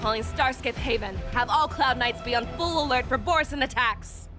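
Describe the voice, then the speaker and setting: A woman voicing a commanding female general who speaks in a measured, instructive way and rallies her troops. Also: high voice, natural voice. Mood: rallying. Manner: measured, instructive, rousing when addressing troops. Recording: studio game dub over loud music.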